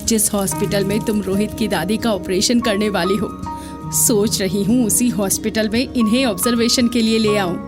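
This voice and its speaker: An actress plays a middle-aged aunt in an audio drama. Her mid-range voice is fond and indulgent, with clear background music. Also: medium pitch, fairly even intonation, normal speed, close to an everyday voice. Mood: affectionate. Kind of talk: Hindi audio drama acting.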